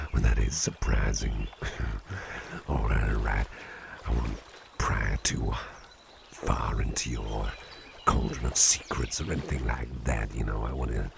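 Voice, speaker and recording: A man playing a calm, caring, playful businessman boss in a slow, intimate ASMR roleplay, speaking in a soothing, gravelly, very deep murmur. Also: background noise, heavily acted. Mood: amused. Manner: soothing, unhurried, repeats short phrases.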